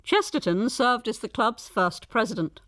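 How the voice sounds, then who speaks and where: A woman's voice: fairly high pitch, animated, normal speed, fairly bright tone, no echo, studio quality. A woman working as a radio presenter, moving between scripted narration and interview questions.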